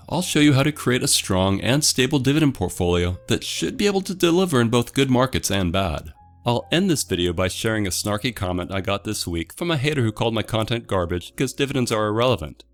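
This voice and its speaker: A middle-aged man hosting a dividend investing show, delivering a scripted explainer in a steady, measured way, his voice slightly off from a cold. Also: lowish voice. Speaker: dividend investing channel host.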